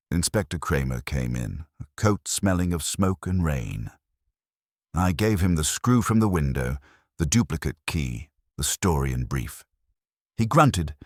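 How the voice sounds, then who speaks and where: Male narrator for detective fiction: extremely low pitch, husky edge, dry close sound. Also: a little mellow, dry recording. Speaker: audiobook narrator. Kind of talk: scripted first-person detective story narration.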